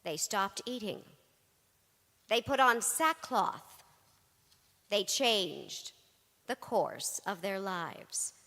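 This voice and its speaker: A woman performs dramatic spoken-word storytelling in measured, theatrical short phrases. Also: mid-range voice, animated, pushed and bright. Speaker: cast member in a dramatic reading. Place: large synagogue hall. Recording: reverberant large hall.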